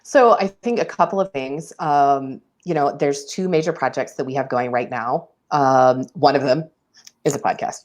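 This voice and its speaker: Very low-voiced woman, candid and chatty. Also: moderate pace, darkish tone. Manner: candid, laughs often, many ums. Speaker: public radio station director. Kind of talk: casual conversational interview.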